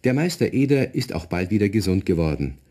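A man playing the genial narrator of a children's radio play, telling the story in an expressive way. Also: low-pitched, a little fast. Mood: genial, amused.

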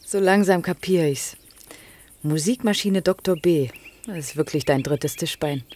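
An actress playing a teasing, inquisitive young student in a naturalistic radio play, probing with questions. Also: female voice, deep voice, a little slow, darkish tone. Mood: teasing, curious. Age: young adult.

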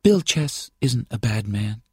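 A male narrator acts out a young small-town woman in a crime audiobook. The voice sits far above a male bass, husky and swooping, with a forthright, confiding, conversational manner. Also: very animated, moderate pace, dark tone, no echo, studio quality. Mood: quiet, earnest. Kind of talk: audiobook character voice.

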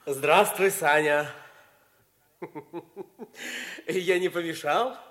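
An actor in a 1950s radio play playing an insinuating, falsely humble young rival with an ingratiating manner. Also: male voice, on the high side, unhurried, a bit bright. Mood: polite, uneasy.

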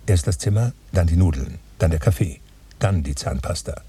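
An actor in a German radio play voicing a fussy, grumbling man who pedantically thinks aloud, his voice husky. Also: low voice, animated, normal speed, warm tone, recorded from a distance, heavily acted. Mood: insistent.

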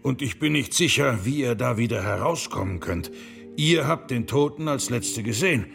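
An unyielding monk and scholar with a heavily creaky voice of medium pitch. He questions and asserts with self-assurance, in radio play style, with music clearly behind him. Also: animated, normal speed, fairly bright tone. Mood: stern. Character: steadfast male monk and scholar playing stern investigator. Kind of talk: German radio play acting.

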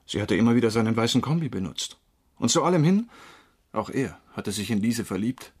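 A knowing, quietly flirtatious man in a radio crime drama. His voice is husky and fairly low, and he talks intently, as if confiding what he has worked out. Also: animated, brisk. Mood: grave, explaining. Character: tall dark-haired male lover, earnest, knowing, quietly flirtatious.

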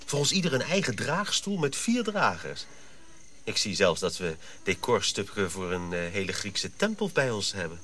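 An actor playing a dutiful, questioning Dutch colonial officer, earnest and precise, in a radio drama. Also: male voice, on the low side, sing-song, moderate pace, pushed and bright. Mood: concerned.

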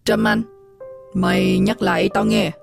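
A hoarse old demon matriarch , as a woman puts her on for a horror story, cruel and hungry. The voice is heavily gravelly with sharp swoops in pitch. Faint music plays underneath. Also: wildly swooping pitch, heavily acted. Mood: menacing. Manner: slow, threatening growl. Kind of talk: horror audiobook voicing.

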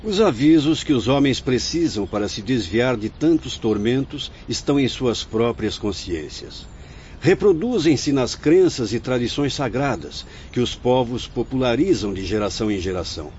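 A man acting a wise, patient spirit mentor in a radio drama, with a Brazilian accent and measured, preaching delivery. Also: medium pitch, darkish tone, close to an everyday voice. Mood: earnest, assured. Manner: measured, preaching, formal oratory. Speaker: actor. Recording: dull lo-fi radio recording, background noise.

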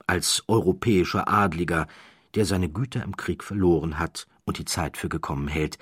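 A man narrating an audiobook story in a measured, deliberate way with theatrical emphasis, close to a studio microphone. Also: low-pitched, a bit bright, husky, no room sound. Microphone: close studio microphone, dry.

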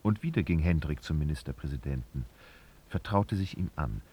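A man narrating a literary radio drama in a husky voice, with dramatic, sardonic storytelling. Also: rumbling low, lively intonation, normal speed, warm tone, heavily acted.

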